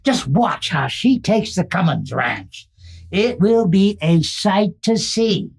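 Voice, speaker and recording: A man's voice: high-pitched, animated, takes it slowly, vocal fry, breathy edge, heavily acted. A man playing a folksy American outlaw who brags about his gang in a radio western. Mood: gleeful, boastful. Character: folksy, admiring Old West gang outlaw.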